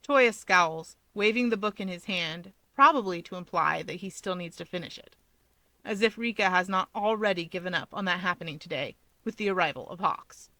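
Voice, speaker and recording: A woman reading scripted fiction aloud as an expressive story narrator. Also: fairly low pitch, moderate pace, no echo.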